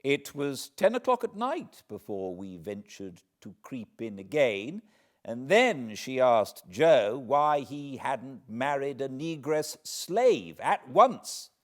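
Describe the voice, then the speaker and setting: A British man reading a classic novel aloud as an audiobook narrator, with expressive, deliberate phrasing. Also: mid-range voice. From Britain. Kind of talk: dramatic literary storytelling, read aloud.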